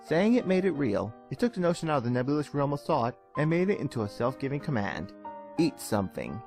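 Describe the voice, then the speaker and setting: A man giving a theatrical, expressive narration of a scripted dramatic story. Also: mid-range voice, fairly quick. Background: soft music.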